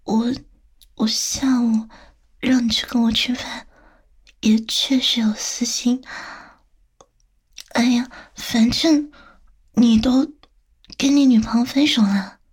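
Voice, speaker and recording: Woman playing flirty lady boss in an audio roleplay, higher voice, super breathy and whispery, slow and teasing. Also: steady tone. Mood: sheepish confessing. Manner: slow, coaxing, tender, teasing. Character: female boss, teasing and coaxing, flirting with younger employee.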